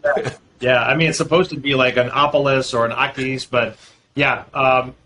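A man's voice: medium pitch, fairly even intonation, moderate pace. Male guest, lawyer, explains at length, slight noise behind. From Boston, US. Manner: fluent, talks in long explanatory runs with frequent fillers. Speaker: guest, real estate lawyer. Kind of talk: podcast interview.

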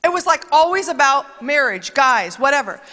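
Very high-voiced woman preaching emphatically. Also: very animated, normal speed, pushed and bright. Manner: emphatic, chatty preaching with quoted asides. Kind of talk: conversational sermon. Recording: long reverb of a large hall.

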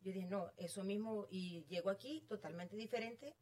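Female, middle-aged, Venezuelan accent, medium pitch, casual storytelling, interview guest. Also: normal speed, a little mellow. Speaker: interview guest, real estate agent.

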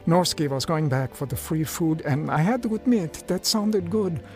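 A creaky-voiced, earnest homeless man. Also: fairly high pitch, expressive, normal speed, darkish tone. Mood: reflective. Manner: sincere, reflective. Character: Frank, earnest, humble homeless man telling his story. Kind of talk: radio drama first-person narration and dialogue. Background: music.

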